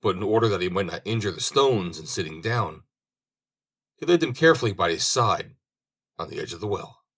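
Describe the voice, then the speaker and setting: A low-pitched man narrates a fairy tale as an audiobook reader. His delivery is expressive, with a lively range and a husky edge. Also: a little fast.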